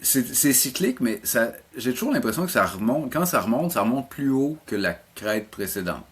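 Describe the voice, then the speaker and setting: A man's voice: mid-range voice, sing-song, moderate pace, breathy edge. A middle-aged man with a Quebec French accent, chatting casually as an interview guest and often repeating words as he searches for his phrasing. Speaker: animation teacher and programme coordinator, interview guest.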